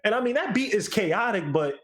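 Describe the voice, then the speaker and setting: An American man chatting casually as a music review co-host, animated, slangy and prone to swearing. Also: sits high, brisk.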